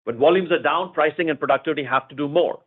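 A man speaking as a company executive, giving measured, explanatory answers in a semi-formal earnings call style, often repeating phrases. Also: on the high side, breathy edge. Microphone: conference call line. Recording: narrow tinny phone-line sound.

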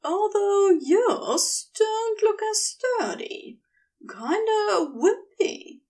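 A woman's voice: very high voice, big pitch swings, drawn-out words, a little mellow, distant mic, voice pushed up, exaggerated voice. A woman voicing a big, stocky, self-assured man in an audio story, teasing and commanding.

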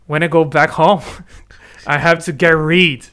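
Animated man, bright voice. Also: a little high, measured pace, clear, resonant tone. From Brazil. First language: Portuguese. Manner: animated, talks in restarts and asks "right?" often. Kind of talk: casual conversation. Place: lives in the UK.

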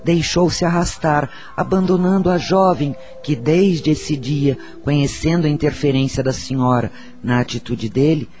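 An actor playing a solemn, wise spiritual counsellor in a radio soap, preaching and storytelling in a measured way with a Brazilian accent. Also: male voice, a little high, darkish tone, soft background music, lightly acted. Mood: grave storytelling.

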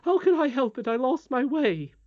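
A woman's voice: high-pitched, very animated, normal speed, no echo, lo-fi sound, pitched above the performer's own voice. A woman reading a storybook aloud, voicing a fretful, indignant, easily offended nursemaid who scolds in exclamations. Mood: tearful, fretful.

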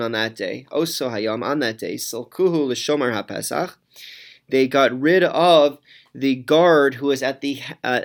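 A man teaching a Talmud class, reading each Aramaic phrase and then translating it, with frequent pauses and ums. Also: medium pitch.

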